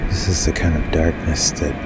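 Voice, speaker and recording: A man playing an anxious, bewildered man in a fiction podcast monologue, musing haltingly and often dropping near a whisper. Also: extremely low pitch, steady tone. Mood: uneasy awe. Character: anxious, bewildered man recording introspective confessions alone. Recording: music bed under the voice. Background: prominent music.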